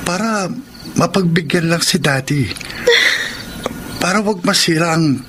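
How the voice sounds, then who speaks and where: High-pitched coaxing young Filipino husband. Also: male voice, measured pace, slight background noise. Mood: pleading. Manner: pleading, soft-selling. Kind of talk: Tagalog radio drama acting.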